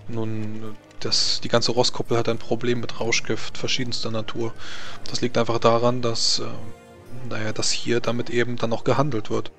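Man game master, flat and halting delivery. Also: mid-range voice, gravelly, soft background music. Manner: halting, explanatory. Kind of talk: improvised in-character tabletop roleplay.

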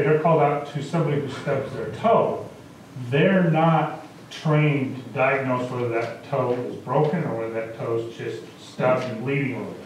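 A man's voice: mid-range voice, a little hiss or hum. A man explaining matters plainly as a council member at a public meeting. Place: small council chamber, indoors. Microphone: distant camera microphone. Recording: very echoey room from far off.

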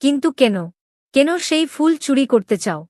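A woman voicing a proud, lovestruck young princess in an animated story, questioning with emotion. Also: high-pitched, moderate pace, no room sound, studio quality. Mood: shocked, questioning. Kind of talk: dramatic Bengali animated story dialogue.

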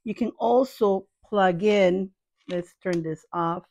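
A woman's voice: medium pitch, takes it slowly, creaky, darkish tone, dry recording. A middle-aged woman giving a casual, chatty product review in a playful, affectionate manner, often repeating her phrases. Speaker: video host reviewing products. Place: small cluttered room indoors.

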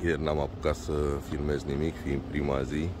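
A man's voice: extremely low pitch, level tone. A man hosting a fishing vlog, talking casually to the camera from a sailboat outdoors, in short descriptive phrases with hesitant fillers. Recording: noisy outdoor recording.

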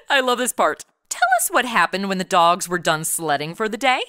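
A woman's voice: mid-range voice, sing-song, normal speed, pushed and bright, no room sound, clean studio sound. A woman hosting a podcast, asking playful scripted interview questions in a perky, chatty way. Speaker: podcast host.

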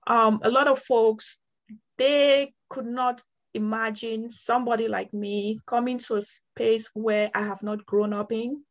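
A woman from Cameroon speaks in a slightly raised pitch over a video call. She talks steadily and earnestly about her work, with the odd restart. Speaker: water quality programs coordinator. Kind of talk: breakout room discussion.

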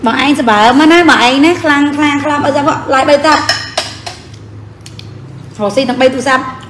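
A woman's voice: high-pitched, moderate pace, background noise. A woman chatting casually and teasingly during a home lunch. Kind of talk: casual chat while eating. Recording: echoey room with clinking cutlery. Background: cutlery and dishes clinking.

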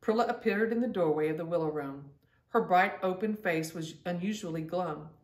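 A woman's voice: fairly low pitch, normal speed. A middle-aged woman hosting a children's storytime, reading aloud in a storytelling style at home. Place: indoor room at home. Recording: roomy, distant-mic home recording.

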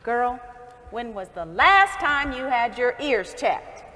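Actress as prim indignant churchwoman, high swooping voice, scornful, theatrical, echoing hall. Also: very animated, takes it slowly, pushed and bright, exaggerated voice. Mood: exasperated. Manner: exasperated, emphatic, theatrical. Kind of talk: stage play acting. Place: stage of a large theatre hall.

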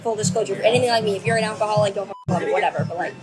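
A woman's voice: medium pitch, over loud music. A young woman filming herself on a phone at arm's length in a holiday villa, chatting candidly and swearing freely. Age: young adult. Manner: candid, swears freely, drawn-out casual fillers. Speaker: vlogger. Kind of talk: casual vlog chat to camera. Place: holiday villa, indoors and on sunny terraces. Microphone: handheld phone at arm's length.

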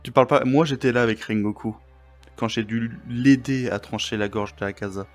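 A man streaming a VR roleplay, joking loudly and laughing often. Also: mid-range voice, steady tone, brisk, faint music behind. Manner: joking, loud, bursts of laughter. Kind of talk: playful in-character roleplay banter. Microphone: VR headset mic.

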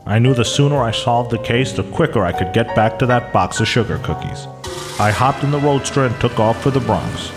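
An actor playing a hard-boiled, wisecracking private eye, narrating a mock radio noir in a deadpan, clipped tough-guy way. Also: medium pitch, a little fast, natural voice. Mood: deadpan, world-weary. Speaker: detective narrator. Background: music.

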